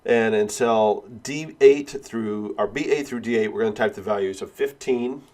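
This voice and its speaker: Man with a cold, fairly low voice, sniffling, unhurried step-by-step tutorial narration. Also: darkish tone. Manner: step-by-step, with frequent "uh" and "all right". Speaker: instructor. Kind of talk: screen-recorded software tutorial.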